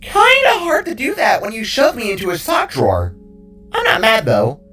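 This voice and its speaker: A very high-pitched, swooping voice for a chatty little cube creature. It is a playful, teasing sidekick from a fantasy audio story. The talk is wordy and excitable, with music behind it. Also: male voice, normal speed, recorded from a distance, exaggerated voice. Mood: cheeky. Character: chatty playful talking cube companion, male.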